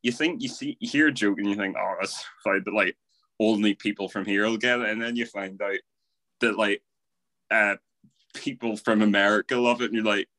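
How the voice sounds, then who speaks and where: A man's voice: lowish voice, steady tone, a little fast, bright, forceful tone, no room sound. A young man co-hosting a podcast, talking things through with wry, teasing asides. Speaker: podcast co-host. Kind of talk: casual chat.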